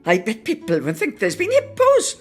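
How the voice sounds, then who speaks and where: A woman's voice: fairly high pitch, wildly swooping pitch, moderate pace, soft background music. A woman voicing an eager, boastful small boy at play, in a dramatic radio story reading. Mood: excited, boastful. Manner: eager, breathless, playful boasting. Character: excitable, boastful small boy playing in snow.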